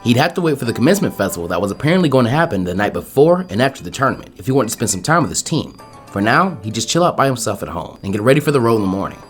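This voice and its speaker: A man narrates fan fiction in an expressive style. His medium-pitched voice moves quickly, with soft music playing behind it. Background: faint music.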